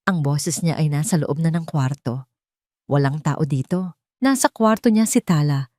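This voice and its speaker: A woman narrating a scripted first-person drama in a deliberate, dramatic way with short phrases. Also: low-pitched, dark tone, no echo, clean studio sound. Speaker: story narrator.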